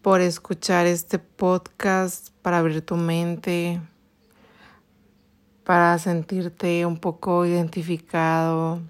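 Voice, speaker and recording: A Mexican woman in her late twenties hosting a personal podcast, telling a story slowly with many pauses, restarts and fillers. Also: fairly low pitch, completely flat delivery, clear, resonant tone. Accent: Mexican Spanish. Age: 20s. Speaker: podcast host.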